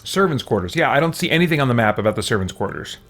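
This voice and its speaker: A man's voice: medium pitch, sing-song, very fast, fairly bright tone. Man rambling casually through a game livestream. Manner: rambling, hesitant thinking aloud. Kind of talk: casual gameplay commentary with live chat.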